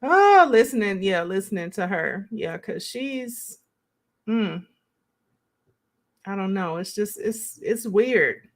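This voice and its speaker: Slow-paced woman musing on a live stream. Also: mid-range voice, sing-song. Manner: conversational, musing, thinking aloud. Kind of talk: casual reaction commentary, reading and answering live chat comments.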